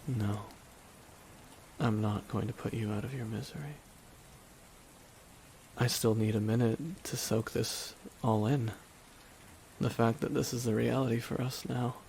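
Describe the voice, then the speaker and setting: Brief: male actor as wry, softened villain for ASMR roleplay; gravelly, hushed, lingering pauses, rain behind. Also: mid-range voice, fairly even intonation, slight background noise, poor audio. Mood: wistful, resigned. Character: reflective male supervillain, wry, quietly softened toward his nemesis. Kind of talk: ASMR dramatic roleplay monologue.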